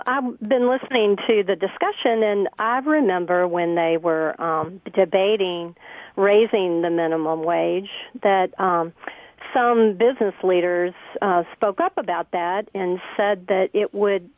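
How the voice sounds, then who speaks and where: A woman's voice: mid-range voice, a little slow, no room sound. A woman calling in to a radio show with a question, heard over the telephone. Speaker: radio call-in listener. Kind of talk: caller asking a question. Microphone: phone. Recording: narrow telephone line.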